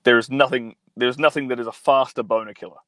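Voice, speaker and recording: A man's voice: medium pitch, steady tone, brisk, fairly bright tone, no room sound. A young man hosting a casual live stream from his mobile phone, reading viewer comments and answering in a blunt, profane, rambling way full of fillers.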